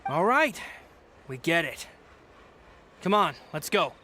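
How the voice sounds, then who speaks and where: A man's voice: a little high, very animated, takes it slowly, bright, forceful tone, a little hiss or hum, heavily acted. An actor playing a resentful male thug in a game, grumbling and defensive. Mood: resigned. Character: sulky defeated male thug. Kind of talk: game voice acting.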